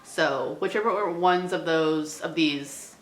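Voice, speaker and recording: A woman's voice: deep voice, slow. A woman book vlogger chatting casually to the camera in an informal, slangy, hedging way. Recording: roomy indoor echo.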